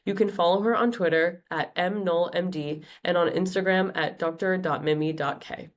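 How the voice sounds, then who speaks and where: A woman's voice: lowish voice, steady tone, normal speed. Young woman reading a guest bio. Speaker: medical student host. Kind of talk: read introduction.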